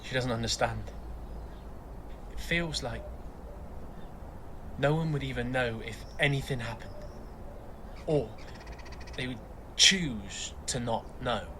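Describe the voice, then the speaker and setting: A weary, quietly grieving young father in a naturalistic audio play. His voice sits at an ordinary middle pitch, with some ambient noise around it. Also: slow pace, pauses often. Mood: quietly bitter. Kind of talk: naturalistic audio drama acting.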